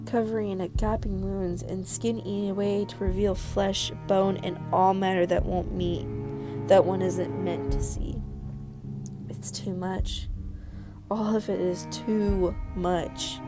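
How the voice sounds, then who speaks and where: A woman's voice: medium pitch, close to an everyday voice. A woman voicing a frightened, superstitious real estate agent in a horror visual novel, with dramatic, anxious delivery.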